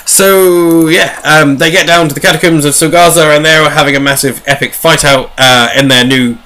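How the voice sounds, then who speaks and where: A man with a bright, forward voice sitting a little high in pitch. He talks as a podcast host giving a casual recap. His delivery rambles and is sprinkled with dry, jokey asides. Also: steady tone, moderate pace, a little hiss or hum. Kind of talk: casual chatty book recap.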